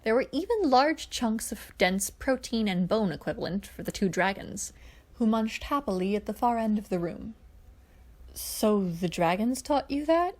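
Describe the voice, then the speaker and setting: A woman's voice: a little high, expressive, normal speed. A woman reading scripted fiction aloud as the narrator. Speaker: podfic narrator.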